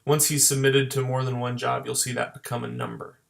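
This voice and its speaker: A man with a noticeably creaky, fry-edged voice narrates a screen tutorial. He speaks as a software trainer at a medium pitch. His explanations are plain and move step by step. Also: level tone, a little fast. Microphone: voice-over for screen recording.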